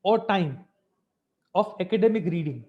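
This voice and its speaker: High-pitched Indian man lecturing, dull audio. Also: expressive, normal speed. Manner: didactic, repeatedly checking with "right?" and "fine". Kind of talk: informal webinar lecture with anecdotes.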